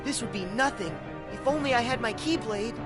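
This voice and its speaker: A man's voice: very high-pitched, animated, brisk. A man voicing a plucky, hot-headed teenage boy hero in a video game, earnest and impulsive. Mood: determined. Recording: game music under the voice.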